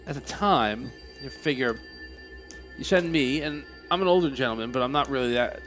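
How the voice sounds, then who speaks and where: Casting: earnest man in tabletop roleplay, mid-pitched, faint music behind. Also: animated, normal speed, fairly bright tone. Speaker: player.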